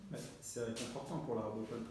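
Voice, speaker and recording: A man adds short, quiet comments to a class discussion. His voice reaches the mic from a distance, washed in heavy room echo with a faint hiss. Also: medium pitch, steady tone, fast talker.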